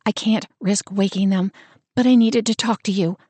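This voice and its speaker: A woman's voice: medium pitch, fairly even intonation, moderate pace, mellow, no echo, lightly acted. A woman voicing a frightened young woman who talks in a shaky voice close to tears. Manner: shaky, close to sobbing, then bitter. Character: frightened, tearful young woman, a protective older sister.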